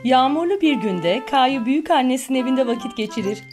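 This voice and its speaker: A woman's voice: high voice, expressive, fairly quick, music in the background. A woman narrating a children's cartoon in a simple storytelling manner for small children.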